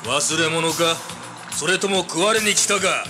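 A menacing monster played by a man speaks in a slightly raised male pitch. He taunts in a sinister audio drama style, with clear music behind the voice. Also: a little slow, close to an everyday voice. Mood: taunting menace. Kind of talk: 1980s audio drama acting.

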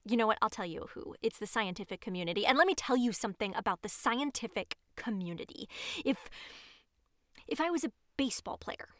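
Female, actor as disgraced scatterbrained scientist, halting self-justifying rambler, slightly high pitch, dry close sound, audio drama. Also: lively intonation, no echo, lightly acted. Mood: chatty, indignant. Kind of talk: audio drama monologue as if recording a homemade show.

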